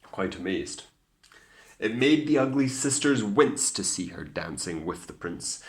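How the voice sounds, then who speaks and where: A Scottish man reading aloud as a teacher to children, in an expressive, theatrical storytelling style with hushed asides. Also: fairly low pitch, measured pace.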